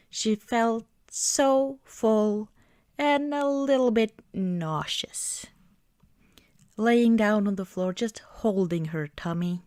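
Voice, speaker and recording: Female ASMR performer, intimate close-mic roleplay, soft half-whispered delivery, leisurely pace, no room sound. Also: mid-range voice, dry recording. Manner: gentle, unhurried, often dropping into whispers. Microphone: close microphone, dry sound.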